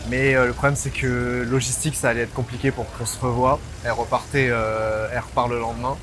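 A man in his twenties from Paris with a Parisian accent and French as his native language, chatting casually and haltingly in the street. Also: medium pitch, little pitch movement. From Paris, France. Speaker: street-approach vlogger. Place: outdoors on a busy city street. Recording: street noise with traffic behind.

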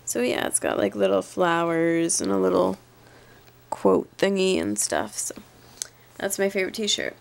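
A young woman sits on her living room sofa and talks into a camera held close, her voice thick and stuffy from a cold. She has a gravelly fry in her voice and a relaxed, chatty manner, often fading to a quiet murmur at the end of a sentence. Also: medium pitch, unhurried. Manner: chatty and laid-back, trails off quietly at the ends of sentences. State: stuffy nose from a cold, tired. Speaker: vlogger. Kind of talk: casual vlog answering tag questions. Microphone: webcam or handheld camera at arm's length.

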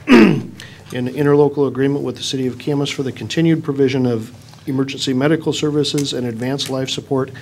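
A man chairing a city council meeting, steadily reading agenda items and running the procedure. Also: medium pitch. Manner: steady, even reading of long agenda lists. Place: council chamber. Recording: faint room noise in a council chamber.

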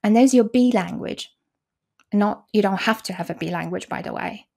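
A woman's voice: medium pitch, normal speed, gravelly, warm tone. A woman in her forties whose first language is Polish, explaining her work as an interpreter in a video call interview, with frequent restarts and fillers. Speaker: conference interpreter, guest. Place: small room indoors. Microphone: video call, desk mic.

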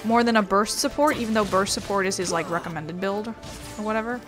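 A woman's voice: medium pitch, quick pace. A woman giving casual, rambling, wry commentary as she plays a video game. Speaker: gaming streamer. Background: game music.

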